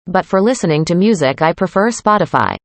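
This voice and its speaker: A woman reading a student's part in a scripted classroom conversation, calm and plain. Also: lowish voice, brisk, no room sound. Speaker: student in a dialogue.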